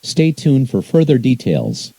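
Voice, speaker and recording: A man's voice: mid-range voice, huge pitch swings, measured pace, creaky, background noise. A man reading scripted emergency alerts as an announcer, in a formal, impersonal, synthetic-sounding delivery.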